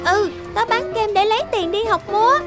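Earnest little cartoon girl, high-pitched. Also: fairly quick. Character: little girl selling ice cream, earnest and determined. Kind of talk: animated moral-story dubbing. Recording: loud music behind the voice.

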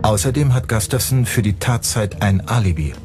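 A man's voice: on the low side, animated, normal speed, a little mellow. A man narrating a true-crime documentary in a scripted style. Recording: music under the voice. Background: background music.

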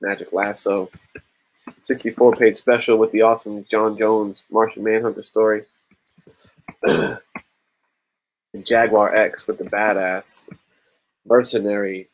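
Casual low-voiced man hosting a raffle. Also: little pitch movement, unhurried, pauses often, low-quality recording. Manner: casual, chatty, counting aloud with laughs. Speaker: YouTube comic channel host. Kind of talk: casual livestream raffle chat.

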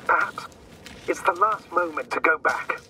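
An actor playing a worried space mission commander guiding someone over the radio in a dramatic game, urgent and instructing. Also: male voice, a little high, expressive, a little slow, sharp tone, far from the mic, a little hiss or hum, full character voice, rough recording.

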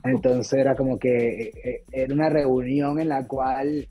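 A man's voice: medium pitch, flat delivery, measured pace, dark tone, slightly rough. A man from Venezuela, an actor, chatting casually on a video call about his stage roles, full of fillers and restarts. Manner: rambling, many fillers and restarts. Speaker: actor guest. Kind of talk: casual interview chat. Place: home room, video call. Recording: dull lo-fi video call audio with faint music.

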